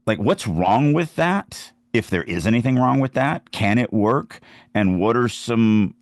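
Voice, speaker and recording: A man's voice: medium pitch, animated, a little slow, dry recording, clean studio sound. A man hosting a casual podcast chat about writing, speaking emphatically and acting out examples to make his points. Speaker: podcast host and writing coach.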